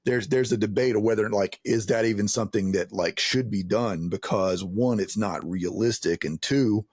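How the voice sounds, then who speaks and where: A man's voice: on the low side, moderate pace, a little mellow, husky, no room sound, clean studio sound. A man hosting an interview in a casual, rambling, conversational way. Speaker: interview host.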